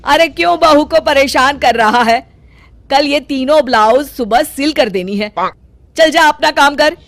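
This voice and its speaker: A woman's voice: high-pitched, unhurried, no echo, voice pushed up. A woman voicing a domineering, scolding middle-aged mother-in-law in a cartoon story. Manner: scolding, commanding.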